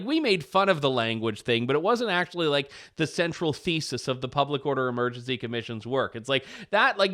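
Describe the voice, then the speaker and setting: A man's voice: medium pitch, a bit bright. A Canadian man hosting a political commentary show, talking in a wry, casual way. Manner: wry, casual with frequent "like" fillers. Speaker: host.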